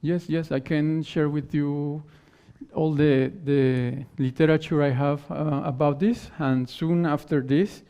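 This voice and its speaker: Man speaking non-native English, halting. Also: on the high side, steady tone, dark tone, clear, resonant tone. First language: not English. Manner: explains in halting phrases, often restarting words. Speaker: conference speaker. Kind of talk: technical talk and Q&A answers. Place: conference hall stage. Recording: dull lo-fi conference recording.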